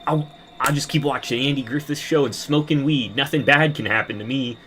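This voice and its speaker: Man mocking a pleasure-chasing older guy, pitched-up, sneering, loud. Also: a little fast, music in the background, natural voice. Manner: sneering, whiny parody.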